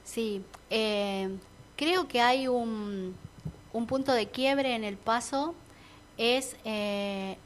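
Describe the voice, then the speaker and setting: A talkative woman with an Argentine Spanish accent shares her views in casual chat. Her voice sits a little high and she muses with fillers and restarts. Faint ambient noise hums behind her. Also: drawn-out words. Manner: chatty, reflective, many fillers and restarts. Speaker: entrepreneur guest.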